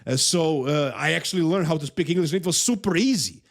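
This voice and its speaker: A man's voice: a little high, fast. A man from Serbia with a Serbian accent, a native Serbian speaker, hosting a casual bodybuilding commentary and giving his emphatic, opinionated take with frequent repeats.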